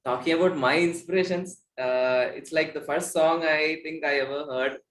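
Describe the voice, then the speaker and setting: A young man with an Indian accent chats casually as an interview guest. His voice sits a bit high, and the room around him echoes noticeably. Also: fairly even intonation, moderate pace, a little hiss or hum. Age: young adult. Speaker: musician guest. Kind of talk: casual chat, reminiscing.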